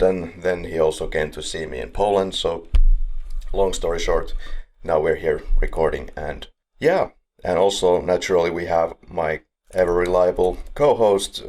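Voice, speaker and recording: A man's voice: low voice, measured pace, a little mellow. A man from Finland, hosting a podcast in a casual, upbeat and joking way. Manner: upbeat, joking, laughs between lines. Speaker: podcast host. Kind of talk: casual chat.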